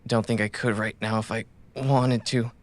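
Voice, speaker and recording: An actor playing a wounded, loyal cyberpunk runner in an audio drama, tenderly and haltingly reassuring a companion. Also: male voice, on the low side, little pitch movement, close to an everyday voice. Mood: weary, reassuring. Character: wounded, loyal male caravan runner gently soothing his companion.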